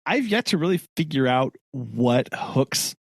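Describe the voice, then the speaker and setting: A man's voice: medium pitch, big pitch swings, a little slow, vocal fry, slightly rough, no room sound. A man co-hosting a podcast in casual chat, rambling with many restarts and frequent laughs. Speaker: podcast co-host.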